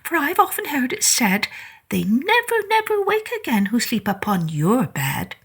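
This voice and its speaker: A woman's voice: on the high side, wildly swooping pitch, moderate pace, a bit bright, slightly rough, voice pushed up, full character voice. A woman voicing a wary little female fly in a storybook reading, prim and polite.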